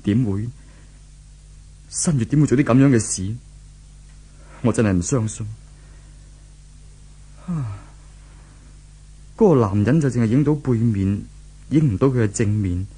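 An actor playing a brooding, distraught young office worker in a 1970s Cantonese radio drama, with a husky voice and a Hong Kong accent. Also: male voice, mid-range voice, animated, a little slow, pauses often, mellow. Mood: anguished disbelief. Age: young adult.

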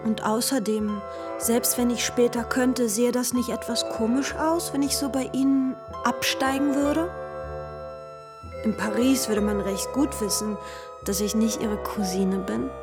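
An actress plays a young lover in a radio drama, compliant yet a little reserved. Her voice sits on the high side, and music plays clearly behind her. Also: steady tone, unhurried, natural voice. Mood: hesitant, reasoning. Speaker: actor in a radio play. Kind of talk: German radio drama acting.

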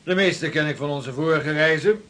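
A man's voice: fairly high pitch, normal speed, a bit bright, close to an everyday voice. A man acting in a historical radio play as a cautious, respectful sea captain with a formal, deferential manner. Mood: matter-of-fact, cautious. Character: cautious, respectful male ship's captain of centuries past.

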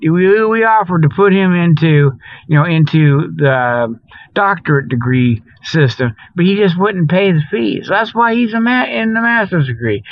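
A tired middle-aged man with a slightly high voice rambles through an improvised comic monologue. He is folksy and jokey, drifting in and out of mock sales pitches. The sound is close and dry, with a dull, lo-fi radio quality. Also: animated, moderate pace, dry recording. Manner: folksy, jokey, meandering, chuckles at own jokes. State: tired, weary. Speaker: host of a comedic Bigfoot show. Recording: dull lo-fi radio-style audio.

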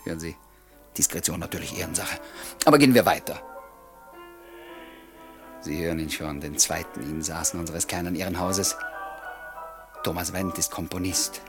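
A man's voice: low voice, normal speed, long pauses, music in the background. An actor playing a genial writer in his late twenties, narrating a radio drama and courteously confiding in his listeners. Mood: confiding, playful. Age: 20s. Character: chatty, genial 29-year-old writer showing visitors around.

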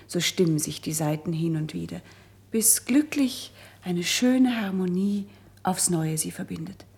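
Wistful princess, very husky and breathy, creaky edges, soft elevated verse, classical radio play. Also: female voice, medium pitch. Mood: gently reassuring. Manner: soft, measured, elevated delivery. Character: gentle, wistful princess, noble and consoling. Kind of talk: classical German verse radio drama acting.

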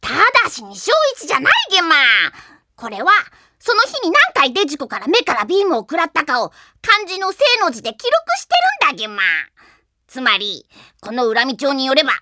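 Shrill, swooping little creature sidekick. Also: female voice, pushed and bright, no echo, clean studio sound, full character voice. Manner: blustering retorts, tacks a nonsense tic onto sentences. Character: small excitable grumbling creature sidekick, indignant and petty. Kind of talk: anime audio drama acting.

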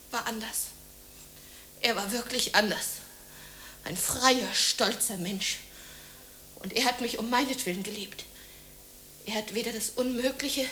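A woman's voice: a bit bright, slight background noise, natural voice. An actress playing a lonely, jobless woman, bitter and despairing, in a theatrical radio drama monologue. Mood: wistful, wounded. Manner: theatrical, swinging from shrill ranting to breathy murmuring.

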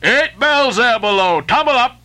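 Bossy male sailor, voiced by a man, bellowing orders, lively swoops, storybook. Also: very high voice, moderate pace, voice pushed up, heavily acted. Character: bossy male sailor calling the night watch.

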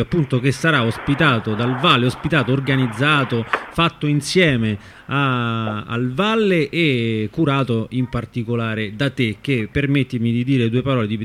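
Guy doing a radio interview, bit halting, medium pitch, some noise behind him. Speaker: radio host. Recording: noticeable background noise.

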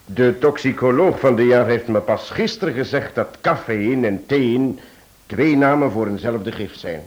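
An actor plays a genial male detective in a radio play. He has a medium-pitched voice and sets out a smuggling case in a measured, explanatory way. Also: muffled recording, natural voice. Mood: amused. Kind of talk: Dutch radio play acting.

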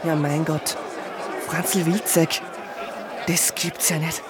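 An actor playing a blunt, folksy Viennese guard under orders in a radio drama. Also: male voice, fairly high pitch, flat delivery, takes it slowly. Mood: warm surprise. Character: brusque Viennese checkpoint man under orders. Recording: noisy outdoor crowd street scene.

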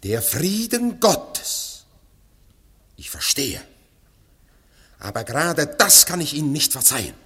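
A bitter young rebel with a high, bright, tense voice and a breathy edge, hurling impassioned accusations. His lines ring in a long reverberant hall in this radio play. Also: big pitch swings, slow pace, long pauses, full character voice. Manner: impassioned, accusing, half-sung.

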